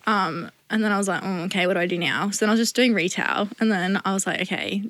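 Fast-talking blunt young woman. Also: medium pitch, fairly bright tone, dry recording. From Australia. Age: young adult. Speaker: podcast guest. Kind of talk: casual chat.

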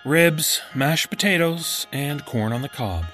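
A man's voice: a little high, animated, takes it slowly, a bit bright, faint music behind, pitched above the performer's own voice. A man playing a boy's dismissive, secretly sinister mother in a horror story, with a light, condescending manner. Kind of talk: horror story character dialogue.

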